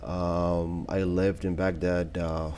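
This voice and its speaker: Deep, mellow man from Iraq. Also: level tone, slow pace, a little hiss or hum. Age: 30s. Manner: hesitant, many fillers and restarts. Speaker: guest. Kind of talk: casual interview answers.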